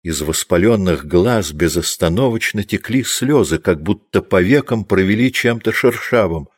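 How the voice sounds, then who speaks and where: Male audiobook narrator, low register, measured scripted storytelling. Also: animated, breathy edge.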